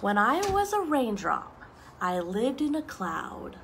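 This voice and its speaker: A young woman reading picture books aloud to children, expressive and explaining things simply as she goes. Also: a little high, slow pace, creaky, a little hiss or hum. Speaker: story time reader for children. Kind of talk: children's picture book read-aloud with asides. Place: outdoors on a wooden deck among trees.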